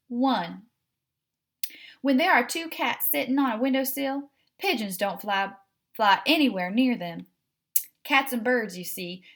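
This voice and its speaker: Higher-voiced woman reading a children's story. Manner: expressive, addresses young listeners directly. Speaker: read-aloud host.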